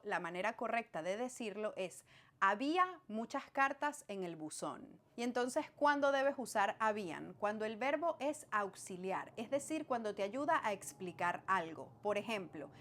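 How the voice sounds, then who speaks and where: Clear-spoken Venezuelan woman teaching. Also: a little high, a bit bright. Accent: Venezuelan Spanish. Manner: clear, didactic, addressing the viewer directly. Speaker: language teacher. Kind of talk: scripted explainer lesson.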